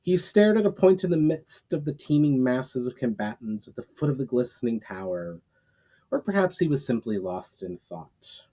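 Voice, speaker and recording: A man who is an author reading his own fantasy writing aloud in a storytelling style, a little halting in delivery. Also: medium pitch, sing-song, dark tone, rough recording. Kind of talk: casual intro, then dramatic storytelling read aloud.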